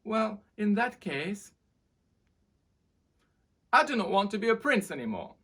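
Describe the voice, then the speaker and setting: A woman's voice: mid-range voice, big pitch swings, a little slow, a bit bright, husky, voice pushed up, heavily acted. A woman voicing a young storybook prince, earnest and loving, speaking out emphatically. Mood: earnest, defiant. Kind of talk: storybook character reading.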